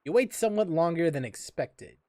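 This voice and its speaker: A man's voice: fairly high pitch, lively intonation, a little fast, dark tone, no room sound, clean studio sound. A man giving casual, joking live commentary as he streams a game, heckling along as he plays. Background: game music.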